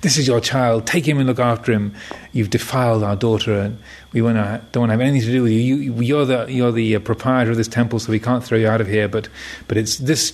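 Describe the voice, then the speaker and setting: A man's voice: mid-range voice, gravelly, a little mellow. A man voicing an irate parent who scolds a monk with scorn and contempt.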